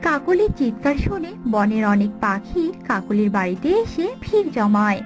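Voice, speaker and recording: A woman's voice: mid-range voice, very animated, normal speed. A woman giving scripted narration for a children's cartoon story. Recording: music behind the voice.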